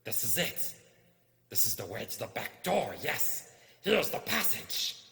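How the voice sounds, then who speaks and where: A sly, wretched creature of the caves for a storybook reading. It hisses and whispers fearfully, muttering and repeating itself in broken grammar. The voice is sharp-edged and rings with long, echoing reverb. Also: male voice, medium pitch, big pitch swings, measured pace, vocal fry, voice pushed up, exaggerated voice. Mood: fearful hissing. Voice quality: hissing, whispery. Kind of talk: audiobook character voice. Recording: echoey room with long reverb.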